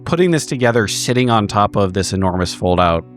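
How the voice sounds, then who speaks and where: A man's voice: on the low side, expressive, a little fast. A man casually improvising his character's actions as a player in a tabletop roleplaying game, swearing now and then. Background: background music.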